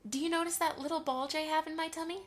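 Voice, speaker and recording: Woman playing a high-voiced, gentle mother pony. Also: steady tone, fast, natural voice. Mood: fond, nostalgic. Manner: affectionate, storytelling to a child. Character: Velvet, gentle motherly pony mare, fondly nostalgic. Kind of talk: amateur audio drama acting.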